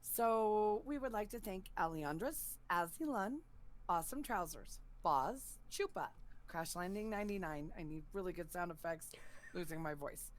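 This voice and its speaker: A woman's voice: fairly low pitch, expressive, moderate pace. A woman co-hosting a podcast who reads out a list of supporters in a breezy, playful way. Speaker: podcast co-host. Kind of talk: reading a thank-you list of supporters.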